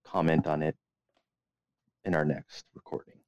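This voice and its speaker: A man's voice: a bass voice, steady tone, moderate pace, darkish tone, no room sound, muffled recording. A young man co-hosting a podcast in casual, rambling chat full of profanity and slang. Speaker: podcast co-host.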